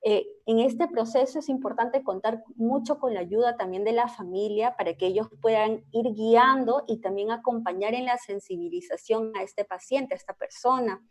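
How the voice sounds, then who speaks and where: A woman clinical psychologist, a guest explaining in an interview, measured and explanatory, with frequent hesitations. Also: a little high.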